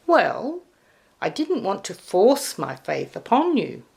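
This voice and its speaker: Woman voicing a chummy, animated Easter Bunny. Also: mid-range voice, slow, creaky, heavily acted. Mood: apologetic. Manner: chummy, casual. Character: cheerful, sheepish male Easter Bunny, friendly and evasive.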